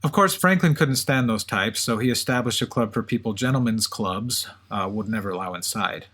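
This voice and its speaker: A man's voice: fairly low pitch, normal speed, fairly bright tone, slightly rough. A man hosting a podcast with conversational, semi-scripted storytelling. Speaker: podcast co-host.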